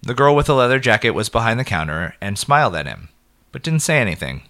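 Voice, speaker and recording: A man narrating a scripted short story in an expressive, measured way with clipped phrases. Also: medium pitch.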